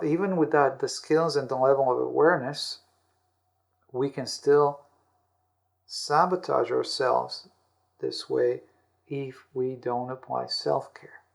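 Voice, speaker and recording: A man giving explanatory self-help answers as an interview guest, often repeating words and rephrasing in halting steps. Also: medium pitch, vocal fry. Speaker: interview guest, audiobook author.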